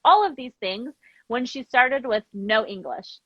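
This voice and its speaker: Chatty woman with a slightly high voice. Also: lively intonation, measured pace, no echo, muffled recording. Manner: chatty, informal, acts out dialogue when telling stories. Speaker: interview guest, language program founder. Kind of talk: casual live interview chat, animated storytelling.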